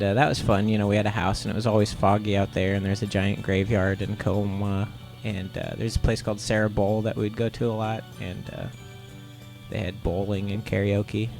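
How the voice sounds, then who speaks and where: Laid-back man with a gravelly voice. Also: fairly low pitch, steady tone, fairly quick, a little mellow, soft background music. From Ohio. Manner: laid-back, rambling with many "uh"s. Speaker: guest, comic artist. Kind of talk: casual interview answers.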